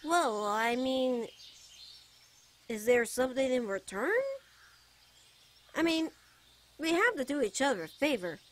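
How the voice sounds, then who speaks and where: A woman's voice: high-pitched, animated. A woman voicing a playful, teasing, fondly protective young bard boy in a giggly ASMR character roleplay. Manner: teasing, giggly, drawn-out phrases. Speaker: voice actor.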